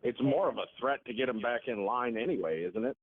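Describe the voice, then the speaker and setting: A man's voice: mid-range voice, brisk, heavy background noise. A man politely and agreeably asking questions as a participant on a conference call. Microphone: telephone. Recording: dry, narrow phone conference line.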